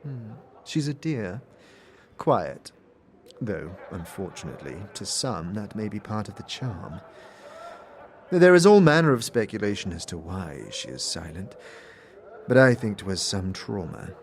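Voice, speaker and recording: A man's voice: fairly low pitch, sing-song, unhurried, slight background noise. A man doing a silky, flirtatious sorceress. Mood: teasing. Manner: silky, teasing, formal old-fashioned speech. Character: elegant, flirtatious female sorceress. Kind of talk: fan audio drama acting.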